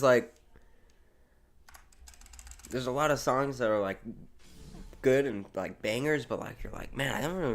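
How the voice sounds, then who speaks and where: A man's voice: mid-range voice, pushed and bright. A young American man chatting loosely on a live gameplay stream, rambling in slang with lots of restarts while reading chat. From United States. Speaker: livestreamer.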